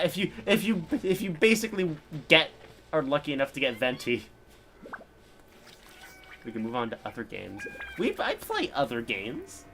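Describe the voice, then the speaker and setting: A man livestreaming a game, chatting casually in an informal, halting, rambling way. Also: mid-range voice, fairly bright tone, slight background noise. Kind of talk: casual chat while gaming. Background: game music.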